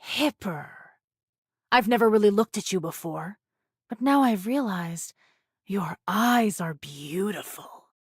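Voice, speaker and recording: A woman's voice: mid-range voice, animated, slow pace, husky, no echo, clean studio sound. Menacing, mocking pirate woman. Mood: menacing, obsessed. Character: vengeful, taunting female pirate first mate, one-eyed ex-convict. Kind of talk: anime video game voice acting.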